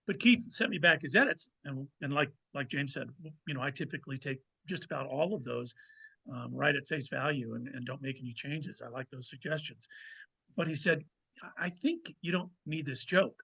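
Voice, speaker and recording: A man speaking as a guest author, telling a casual anecdote in a halting way with repeated phrases. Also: medium pitch, vocal fry, pushed and bright, slightly rough. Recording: dull, slightly lo-fi microphone sound.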